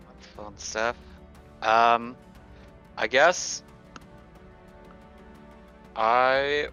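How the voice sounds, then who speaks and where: Slow-talking man, bright tone, slightly lo-fi. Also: mid-range voice. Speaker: tabletop roleplaying game player. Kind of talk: casual game chat.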